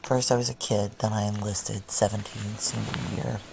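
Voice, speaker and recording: A woman's voice: extremely low pitch, flat delivery, moderate pace, gravelly, noisy background. An actress playing a weary, blunt career military woman in an audio drama, middle-aged, with an American accent and a wry, intimate way of talking. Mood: weary, resigned. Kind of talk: naturalistic noir audio drama dialogue.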